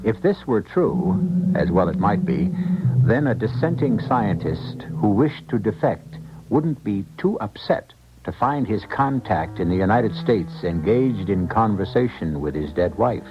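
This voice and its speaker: Man, radio mystery host, low swooping voice, dramatic, measured, muffled with music behind. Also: sing-song. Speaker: host narrator. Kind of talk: 1970s radio mystery narration.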